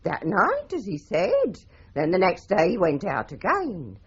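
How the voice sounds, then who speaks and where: A garrulous English landlady in a radio play. Her husky voice swoops theatrically through every grand, indignant phrase. The recording is dull and muffled. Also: fairly low pitch, wildly swooping pitch, a little slow, heavily acted. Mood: dramatic recounting. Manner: theatrical, florid, declamatory storytelling. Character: garrulous, dramatic London landlady, respectable and indignant. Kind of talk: 1980s radio drama acting.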